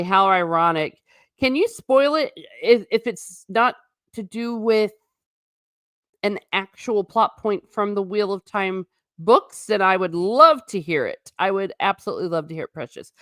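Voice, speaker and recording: A woman runs a casual livestream, reading out her chat and answering it in a wry, rambling way. Her voice sits at an ordinary middle pitch, and she restarts her sentences often. It is recorded dry and close, with no room sound. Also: a bit bright, no room sound. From United States. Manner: chatty, wry and tangential, with frequent restarts.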